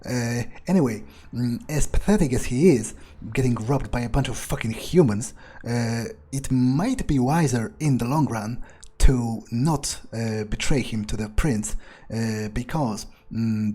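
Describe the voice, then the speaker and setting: Man as scornful fledgling vampire, dark husky tone, hesitant musing, profane, video game role-play. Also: mid-range voice, a little slow, few pauses. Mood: calculating, musing. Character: cynical, scornful fledgling vampire thinking aloud. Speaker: player role-playing in character. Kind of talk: in-character game playthrough.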